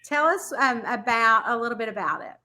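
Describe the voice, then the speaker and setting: Woman, Southern US accent, podcast host, bubbly, laughs a lot, slightly high, bright. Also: brisk. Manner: bubbly, gushing, laughs often. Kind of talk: casual interview chat.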